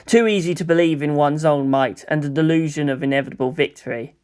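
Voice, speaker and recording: A man's voice: on the high side, fast, darkish tone, clear, resonant tone, natural voice. A man voicing a reluctant, earnest and defiant teenage boy hero in a dramatised fan fiction reading, speaking with intensity.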